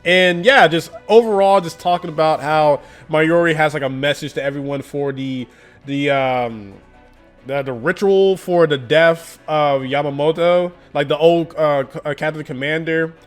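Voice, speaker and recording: A man's voice: fairly high pitch, measured pace, faint music behind. Chatty man reviewing a manga. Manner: chatty, lots of fillers, laughs often. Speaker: anime and manga YouTuber. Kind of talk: casual review commentary.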